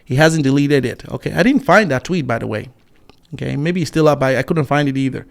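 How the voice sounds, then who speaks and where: A man in his forties gives casual online commentary. He reads out and reacts to viewer comments in a scornful, rambling way, with frequent fillers and restarts. His voice sits at a middling pitch. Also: animated, a little fast, gravelly. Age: 40s. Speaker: YouTube commentator.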